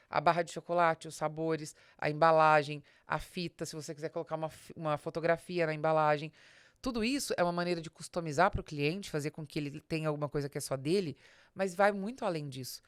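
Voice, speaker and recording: A Brazilian woman with a fairly low voice, recorded close and dry. She explains business ideas informally but emphatically, like a podcast host teaching her audience, and addresses the listener directly. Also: no room sound. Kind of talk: informal business advice monologue.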